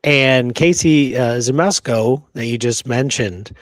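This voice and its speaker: A man co-hosting a show in casual, excited, slangy conversation. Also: medium pitch, a little slow, no room sound, studio quality. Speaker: show co-host.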